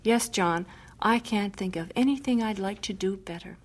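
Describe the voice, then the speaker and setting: A woman's voice: mid-range voice, moderate pace, natural voice. A woman playing a weary but determined missionary mother in an audio drama, earnest and plain-spoken.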